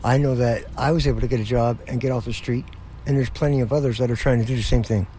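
Regular-pitched guy chatting to a reporter, a little background noise. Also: steady tone, quick pace, mellow, rough recording. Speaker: interviewee, local worker. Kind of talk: casual interview comment. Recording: faint ambient noise.

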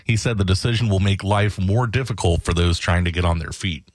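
Male news reporter, very low register, scripted narration in a dry close space. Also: brisk, no echo.

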